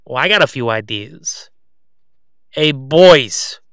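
A man's voice: mid-range voice, lively intonation, slow pace, fairly bright tone, slight background noise. A man playing a cocky, wisecracking crime boss with sneering, folksy gangster patter in an audio roleplay. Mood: gloating, mocking.

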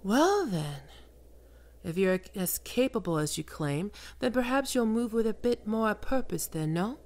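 A woman voicing a seductive, imperious female demon queen, speaking slowly in sultry whispers. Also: mid-range voice, very animated, darkish tone. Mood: commanding. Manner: slow, sultry, domineering, often whispering. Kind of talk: ASMR roleplay audio drama.

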